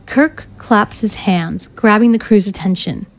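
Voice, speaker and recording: A woman's voice: mid-range voice, measured pace. A woman narrating scene directions from a screenplay in scripted style.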